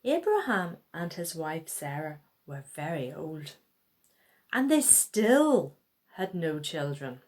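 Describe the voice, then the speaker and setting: A woman's voice: low-pitched, takes it slowly. Woman reading a children's story, very expressively. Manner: expressive, acting out dialogue. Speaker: children's Bible story reader. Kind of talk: read-aloud children's story.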